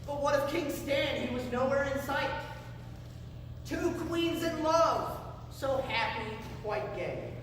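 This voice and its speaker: A man's voice: very high voice, slow, fairly bright tone. A man's voice rings from far off across a heavily echoing, noisy stage. He works as a live show host, delivering comic patter in a grandiose, theatrical way, teasing as he goes. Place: live festival performance before an audience.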